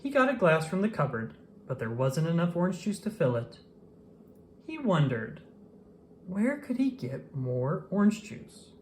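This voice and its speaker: A person reading a children's storybook aloud in an expressive, animated way with dramatic emphasis. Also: mid-range voice, measured pace, a little hiss or hum. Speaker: children's book reader.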